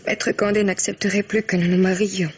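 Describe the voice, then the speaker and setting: An actress playing a proud, wounded young daughter in a radio drama, husky and earnest. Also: female voice, medium pitch, level tone, normal speed, lo-fi sound, natural voice. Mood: shaken, upset. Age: young adult. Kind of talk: French radio drama acting.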